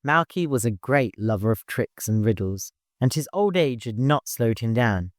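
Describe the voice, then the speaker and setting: A man's voice: mid-range voice, expressive, normal speed, no room sound, studio quality. A man reading a story aloud as scripted audiobook narration.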